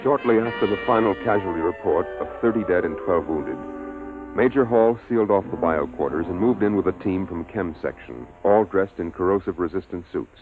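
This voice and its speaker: An actor playing a grave narrator who delivers a military report in 1950s radio drama style, on a muffled old recording. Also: male voice, low voice, expressive, fairly quick. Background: dramatic music.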